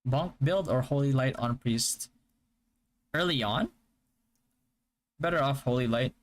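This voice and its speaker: A young man from the Philippines whose first language is Hiligaynon, chatting casually on a live stream and answering viewer questions in a relaxed, stop-start way. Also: mid-range voice, measured pace. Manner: relaxed, stop-start, with frequent fillers and restarts. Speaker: game streamer.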